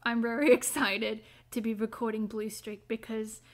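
A woman's voice: a little high, little pitch movement, normal speed. A woman, an amateur voice actor, chatting casually and a little hesitantly between takes.

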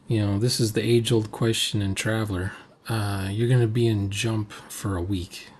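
An older man with a husky voice giving casual reaction commentary on a roleplaying game. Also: fairly low pitch, steady tone, moderate pace, darkish tone, a little hiss or hum. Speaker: video host commenting on a game recording.

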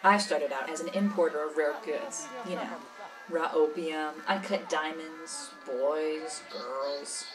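A jaded woman smuggler acted by a woman tells her story in an audio drama interview. Her voice is low and worldly, matter-of-fact and cynical. She sounds a little far from the mic, with music clearly behind her. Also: fairly even intonation, slow pace. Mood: wry, matter-of-fact. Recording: slightly distant, music behind.

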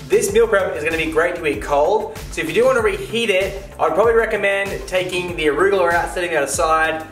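A man's voice: sits high, fast talker, fairly bright tone. Playful man giving cooking steps. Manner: playful, jokey banter while cooking. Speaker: cooking show co-host. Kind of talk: casual recipe instructions. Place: home kitchen. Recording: echoey kitchen with background music.

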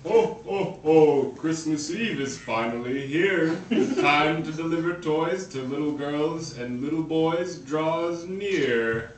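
A man playing a jolly old Santa Claus in a home puppet show, booming and declaiming in rhyme. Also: slow pace, hardly any pauses, distant mic. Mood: jolly, stately. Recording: noisy, echoey home camcorder from afar.

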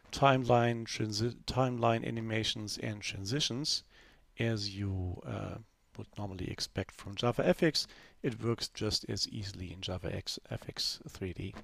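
A man narrating a screen-recorded software demo in a relaxed, unscripted way, full of fillers and restarts. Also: medium pitch, vocal fry, dry recording. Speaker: software developer giving a demo.

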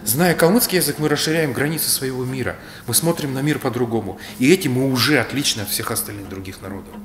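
Man in his 30s from Kalmykia, measured lecturer, roomy echo with faint music behind. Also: medium pitch. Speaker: speaker on history. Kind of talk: reflective explanatory talk. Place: bright indoor room.

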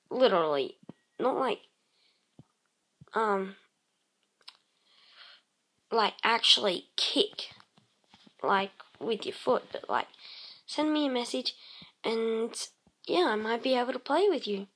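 A woman casually narrating her game session, croaky and clearing her throat, rambling with many pauses and humming to herself. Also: a little high, lively intonation, fairly bright tone. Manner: slow, rambling, many ums, hums tunes while playing. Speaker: let's play host. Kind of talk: casual gameplay commentary.

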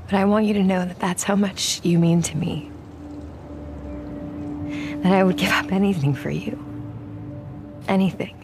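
An earnest young American woman, voiced by an actress in a cinematic video game. She speaks naturally and tenderly at a middle pitch, with music clearly behind her. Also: steady tone, moderate pace, long pauses, lightly acted. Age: young adult. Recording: music under the dialogue.

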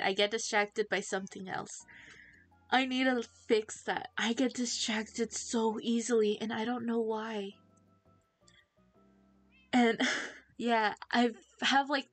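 A woman's voice: fairly high pitch, no room sound. Teen girl streamer, chatty and giggly, rambles while playing, desk mic, faint game music underneath. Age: teens. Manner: chatty, giggly, self-distracted, quick to laugh. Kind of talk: casual livestream chat while gaming.

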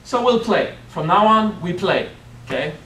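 A man's voice: high voice, expressive, unhurried, fairly bright tone, a little hiss or hum. A middle-aged man with a French accent, a spiritual teacher giving a playful workshop talk and often asking "okay?". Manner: playful, keeps checking in with "okay?". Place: hotel meeting room. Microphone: far camera. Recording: echoey room from far off.

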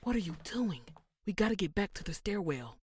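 An actress playing a sassy but sensible thief in an audio drama, with a wry, conversational delivery. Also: female voice, mid-range voice, big pitch swings, brisk, background noise, natural voice. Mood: tense, exasperated. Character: sassy, sensible female thief, easily exasperated.

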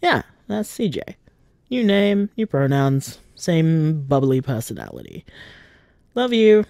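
A man voicing an affectionate young man who leaves a soft, rambling late-night voicemail for his boyfriend in an audio drama. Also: a little high, huge pitch swings, slow pace, creaky, no room sound, studio quality, heavily acted. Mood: tender.

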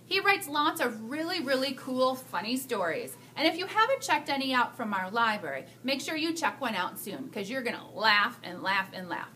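A woman with a high, very lively voice reads a story to young children. She stretches words for emphasis and keeps an animated storytelling delivery. Clear background noise sits behind her in the room. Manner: expressive, drawn-out emphasis for young listeners. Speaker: school staff storyteller reading to children. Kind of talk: animated children's read-aloud.

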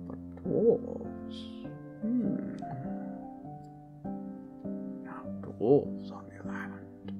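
A man's voice: mid-range voice, exaggerated voice. A man playing a grumbling, scheming old wizard who muses theatrically over his orb in an ASMR fantasy roleplay, often slipping into whispers. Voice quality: breathy, husky. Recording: music behind the voice.

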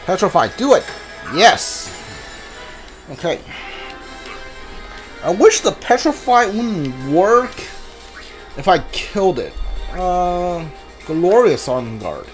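A gamer giving casual playthrough commentary, thinking aloud in halting fragments over a game. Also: mid-range voice, very animated. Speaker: gamer, streamer. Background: game music.

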